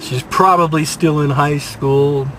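An older man reviewing restaurants from inside his car, talking in an unhurried, rambling way with dry, self-mocking asides. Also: on the high side, steady tone, fairly bright tone, soft background music, rough recording. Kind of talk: casual restaurant review vlog. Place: inside a parked car, daytime. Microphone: dashboard camera at close range.

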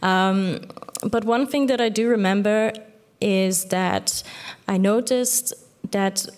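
A woman in her thirties, a journalist whose first language is Dutch, answering thoughtfully with many pauses on a stage panel. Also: medium pitch, a little mellow. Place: theater stage with audience. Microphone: small headset mic.

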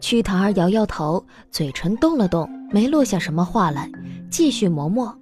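Expressive, animated female narrator, soft tone. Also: mid-range voice, normal speed. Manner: expressive storytelling. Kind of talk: scripted audiobook drama narration. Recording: music bed behind the voice.

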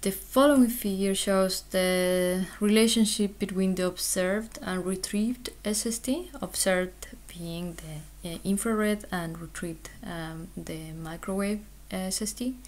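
A woman research scientist giving an explanatory conference presentation, hesitant, with frequent pauses and ums. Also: medium pitch, darkish tone.

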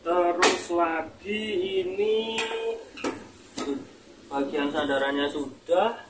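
Young guy showing off a product, chatty and informal but slow, noisy homemade clip. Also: a little high. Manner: informal, addresses viewer as boss. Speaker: seller presenting a product review. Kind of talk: casual product demonstration. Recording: noisy home video with clicks.

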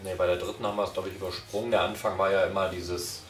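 A man in his thirties in a casual review chat, unhurried and hedging. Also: deep voice, level tone, faint music behind. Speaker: podcast reviewer. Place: small living room, on a sofa. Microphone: far camera. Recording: echoey room from far off.

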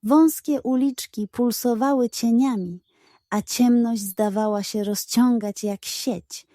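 Female storyteller for children's detective tales: unhurried, soft-toned, suspenseful, recorded dry. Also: fairly high pitch, dry recording.

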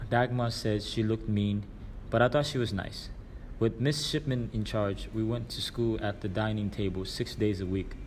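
A man's voice: fairly low pitch, slight background noise. A man reading a children's storybook aloud as scripted narration. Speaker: reader.

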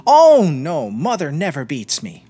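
Man putting on an eager, high-voiced little boy. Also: wildly swooping pitch, measured pace, mellow, husky, heavily acted. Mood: friendly, open. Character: little boy, innocent, chatty and curious.

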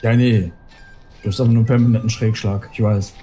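A man's voice: lowish voice, fairly even intonation, quick pace, warm tone, slight background noise. A young man with a cold, grumbling and exasperated as a co-op gaming partner. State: has a cold. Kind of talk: casual gaming chat.

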